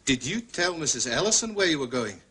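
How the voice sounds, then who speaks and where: An actor playing a probing Scottish police officer with a rough, husky voice in a radio drama. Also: male voice, on the high side, sing-song, brisk, bright, forceful tone, heavily acted. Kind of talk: British radio drama acting.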